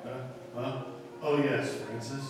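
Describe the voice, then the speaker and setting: A man's voice: mid-range voice, steady tone, takes it slowly, close to an everyday voice. A man playing an unfaithful, evasive husband in a 1940s radio mystery, dry and a little hesitant. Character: unfaithful husband, evasive, curt with his wife. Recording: echoey hall, far from mic, music.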